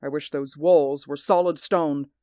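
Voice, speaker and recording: An actor in a 1940s radio drama playing a haunted, sleepless man who pleads in an intense, hushed voice. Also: a little high, fairly even intonation, a little slow, clean tone, dry recording, studio quality, natural voice. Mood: brooding.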